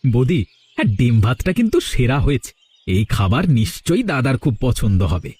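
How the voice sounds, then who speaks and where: A man's voice: mid-range voice, very animated, a little fast, a little mellow, slightly rough, no room sound. A man voicing a scheming, brazen young village man in a melodramatic cartoon, insolent and theatrical. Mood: pleased.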